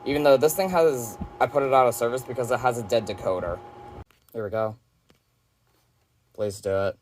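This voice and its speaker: A man's voice: medium pitch, moderate pace, a little hiss or hum, low-quality recording. A man giving a casual, rambling, offhand hands-on demo of his collection, presenting as a hobby collector. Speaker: weather radio collector presenting.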